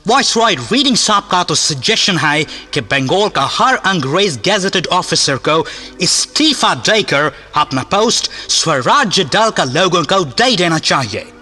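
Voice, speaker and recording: An actor playing a stern, pompous British colonial governor in a radio play, speaking deliberately in British-accented Hindi with English phrases. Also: male voice, high-pitched, big pitch swings, bright, forceful tone, exaggerated voice. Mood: grave, indignant. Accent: British-style accented Hindi, put on. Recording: music behind the voice.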